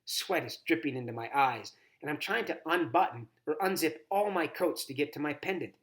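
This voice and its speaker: A man's voice: on the high side, expressive, moderate pace, a bit bright. Man reading a children's novel aloud. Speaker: volunteer story reader. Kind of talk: expressive children's book read-aloud.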